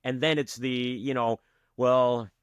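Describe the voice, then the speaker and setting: A man's voice: mid-range voice, flat delivery, takes it slowly, clean tone, no room sound. An easygoing man hosts an interview with a mid-range voice, agreeing often and chiming in between his guest's answers. His sound is dry and close-miked. The delivery is casual and conversational. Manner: easygoing, agreeable, backchannels a lot. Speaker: interview host.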